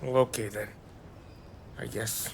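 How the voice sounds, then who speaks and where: An actor playing a belligerent, sloppy drunk gangster in a game, with a creaky, slurred drawl. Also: male voice, fairly low pitch, fairly even intonation, a little slow, a little hiss or hum. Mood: sulky. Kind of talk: dramatic video game acting.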